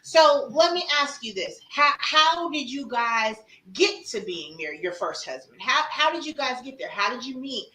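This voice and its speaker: Lively woman host in an echoey room. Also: high voice, normal speed, hardly any pauses. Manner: lively, emphatic, calls out to listeners. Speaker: podcast host, sexologist. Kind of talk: casual interview.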